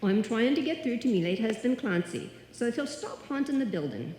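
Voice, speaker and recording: Woman playing a theatrical, mellow Irish landlady widow. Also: on the high side, sing-song, a little fast. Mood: summoning, crooning. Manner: dramatic, crooning, imperious. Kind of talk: live old-time radio comedy acting. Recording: echoey hall, live stage performance.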